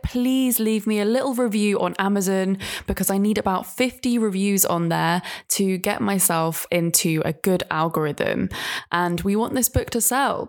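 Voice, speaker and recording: A woman hosting a podcast, talking casually and enthusiastically as she promotes her work. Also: mid-range voice. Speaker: podcast host and author. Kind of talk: casual podcast outro, plugging own book.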